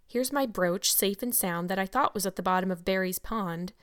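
A woman reading an audiobook voices a stern, sharp-tongued older farm woman who talks in a brisk, scolding, no-nonsense way. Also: mid-range voice, no room sound, clean studio sound, natural voice. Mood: bewildered.

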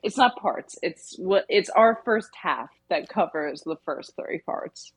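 A woman's voice: on the low side, expressive, slow, breathy edge, no echo. A woman co-hosting a podcast, explaining things casually in long winding sentences. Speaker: podcast co-host.

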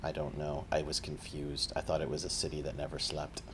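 A man's voice: extremely low pitch, completely flat delivery, a little fast, a bit bright. A man hosting a casual interview podcast, joking along and often repeating words as he goes. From Canada. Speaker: podcast host.

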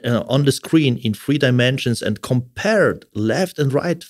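A man's voice: medium pitch, animated, dry recording, clean studio sound. A middle-aged man with a German accent, a guest in a casual podcast interview, speaking with frequent fillers and restarts. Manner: halting, many fillers and restarts. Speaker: orthotics specialist guest.

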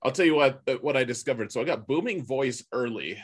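A man co-hosting a gaming podcast, chatting casually and colloquially with a husky voice and strong opinions. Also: medium pitch, expressive, fast. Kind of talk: casual chat about a mobile game.

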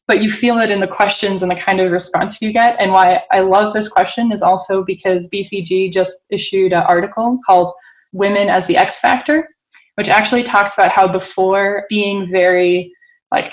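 A woman's voice: medium pitch, normal speed, highs cut off. An American woman in her thirties talking casually as an interview guest, acting out quotes as she explains. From United States (Boston). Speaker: interview guest, tech entrepreneur.

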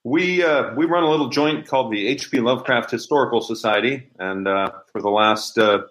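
A man's voice: medium pitch, brisk, fairly bright tone, rough recording. A middle-aged man speaking as an interview guest, telling a story casually.

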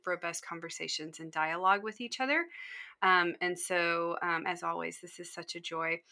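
A woman's voice: lowish voice, sharp tone. A woman hosting a podcast in a chatty, conversational style, with an enthusiastic and affirming manner. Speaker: podcast host.

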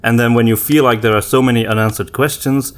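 A man's voice: mid-range voice, fairly even intonation, quick pace. A man with a mid-range voice speaks as a podcast co-host in casual conversation. He is reflective and a little hesitant, often rewording his thought as he goes. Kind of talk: casual book discussion.

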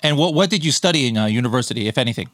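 A man hosts a podcast in easygoing, rambling talk, with plenty of "uh" and "you know" as he goes. His voice sits a touch high for a man. The sound is close and dry, with no room around it. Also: lively intonation, rapid-fire, a bit bright, no room sound. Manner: chatty, loose, many fillers and restarts. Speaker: podcast host. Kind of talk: casual interview chat.

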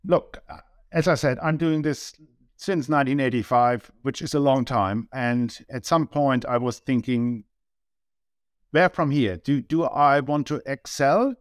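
A man's voice: medium pitch, a little slow, no echo, studio quality. A man in his sixties from Germany with a German accent, talking earnestly as an interview guest and often restarting his sentences. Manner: earnest, many small restarts. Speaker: guest, business owner. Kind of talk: casual interview talk.

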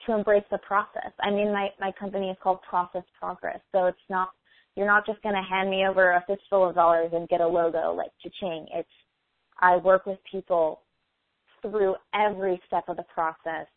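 A woman guest answering interview questions in a casual, earnest, halting way, often restarting her phrases. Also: medium pitch, level tone, no room sound. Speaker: brand designer and guest. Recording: tinny phone-like line, dry.

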